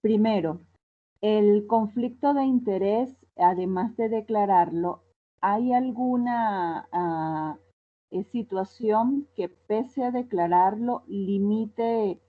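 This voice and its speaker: A woman asks a lecturer a series of questions, speaking very slowly and pausing often to hesitate. Her voice comes through a narrow, tinny call line that sounds almost like a telephone. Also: medium pitch. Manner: slow, careful questioning with hesitations. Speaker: audience member asking questions. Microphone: video call. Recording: tinny phone-like call audio.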